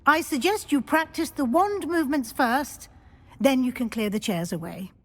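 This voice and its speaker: Middle-aged teacher of magic, highish husky voice, polite, encouraging, game character. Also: female voice, expressive, moderate pace, fairly bright tone. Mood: instructive. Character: kindly, brisk middle-aged female magic professor. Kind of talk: video game character acting.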